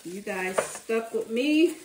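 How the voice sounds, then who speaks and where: Slow-talking woman in an echoey kitchen. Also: a little high, animated, far from the mic, background noise. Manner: homey and chatty, talking to viewers as she works. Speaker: cooking channel host. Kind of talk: casual meal-prep chat while cooking. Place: home kitchen. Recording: echoey room, mic set back from her.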